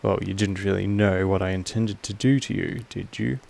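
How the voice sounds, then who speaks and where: Casting: male actor as commanding vampire master for roleplay audio. Low-pitched, very even, possessive and patient, deliberate pauses. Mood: controlled, quietly menacing. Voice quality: low, creaky. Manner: slow, deliberate, measured delivery with weighty pauses. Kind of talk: scripted roleplay audio monologue addressed to the listener.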